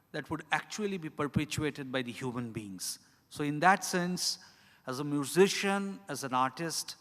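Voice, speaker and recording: A man's voice: a little high, fairly bright tone. Indian-accented man reflecting in an echoey hall. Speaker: author. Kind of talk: reflective spoken answer at a live literary event. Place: large indoor event hall. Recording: echoey hall with long reverb.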